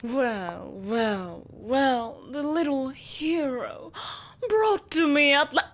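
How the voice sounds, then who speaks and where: A woman's voice: sits high, very slow, fairly bright tone, heavily acted. A woman playing a malevolent, possessive empress in a dramatic audio roleplay, imperious and theatrical, with mocking sweetness. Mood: outraged, commanding.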